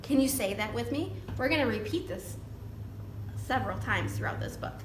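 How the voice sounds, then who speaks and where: A woman hosting a children's storytime, reading aloud in an animated, exaggerated way and prompting young listeners with questions. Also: fairly high pitch, moderate pace. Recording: roomy echo with background noise.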